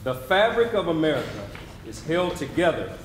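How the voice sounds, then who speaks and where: Guy w slightly high voice narrating onstage, earnest rhetorical style, echoey, noisy crowd in the room. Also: sing-song, normal speed. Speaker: stage narrator. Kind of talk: scripted theatrical narration. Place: large hall, live stage performance. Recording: echoey hall, audience and noise.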